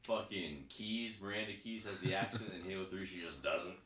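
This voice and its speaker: A man's voice: low voice, moderate pace, a crowd in the background. A man chatting casually as a podcast co-host. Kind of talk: casual chat. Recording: roomy, echoing, noticeable background noise.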